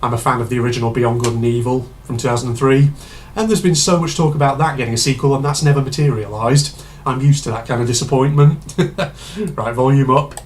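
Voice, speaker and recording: A man chats his way through a reaction video, meandering and full of fillers. His voice sits at an ordinary middle pitch and sounds a touch hoarse and congested from a cold. A faint hum of noise sits behind him. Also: steady tone, fast, a little mellow. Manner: rambling, chatty, many fillers and asides. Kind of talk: casual reaction commentary.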